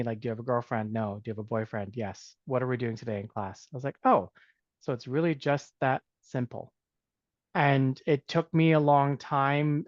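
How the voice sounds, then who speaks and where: A man's voice: medium pitch, no echo. Reflective man on a dull video call. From Canada. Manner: reflective, many fillers and restarts. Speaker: music educator guest. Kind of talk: casual storytelling on a video call.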